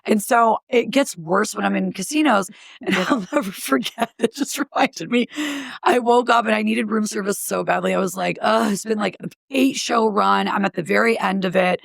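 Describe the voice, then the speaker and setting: A woman stand-up comedian with a scratchy voice, telling animated stories in casual chat. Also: mid-range voice, normal speed, vocal fry, no room sound. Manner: animated, laughing storyteller.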